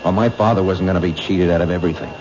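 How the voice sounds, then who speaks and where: A man's voice: low-pitched, steady tone, very fast, crowd noise, natural voice. An actor playing a vengeful gunman, bitter and menacing with slangy tough-guy talk, in a radio mystery drama. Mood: bitter anger. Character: vengeful gunman, grown son of a murdered grocer. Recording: muffled, noisy old radio drama recording.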